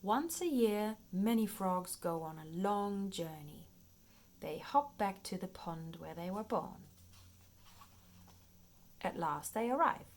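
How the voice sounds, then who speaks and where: A woman reading a children's nature book aloud in an expressive, playful storytime manner, paced for young listeners. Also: medium pitch, slow pace, pauses often. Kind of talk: read-aloud children's nonfiction storytime narration.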